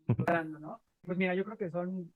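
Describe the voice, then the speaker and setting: A man's voice: high voice, a little fast, narrow, tinny audio. A Mexican man in his thirties answering interview questions earnestly, rambling with many fillers and restarts. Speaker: guest.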